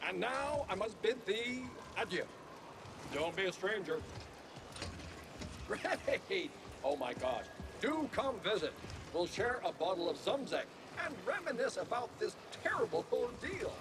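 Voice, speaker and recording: High, swooping, pompous flamboyant eccentric. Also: male voice, sing-song, measured pace. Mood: effusive, grandiose. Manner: theatrical, florid, pompous. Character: flamboyant, grandiose, grateful eccentric man in a sci-fi world. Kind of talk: video game character acting. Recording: noisy ambient background.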